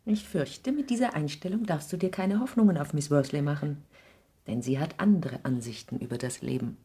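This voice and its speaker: Low, husky, grave mother. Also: warm tone, exaggerated voice. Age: middle-aged. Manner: halting, solemn. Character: grave, wounded, protective mother with a bitter secret. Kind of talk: 1960s German radio drama acting.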